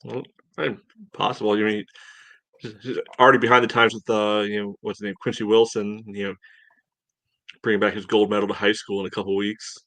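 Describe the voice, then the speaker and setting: A man with a lowish voice gives casual match analysis as a podcast co-host. He talks conversationally, circling his points with lots of filler, and the sound is very dry and free of room echo. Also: flat delivery, fairly quick, a bit bright. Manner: hedging, conversational, peppered with "you know".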